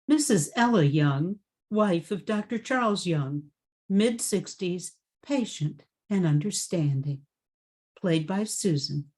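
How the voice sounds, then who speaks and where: A woman reads a scripted introduction aloud as a cast member. Her voice is husky and fairly low, and she takes her time. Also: animated, slow pace, darkish tone.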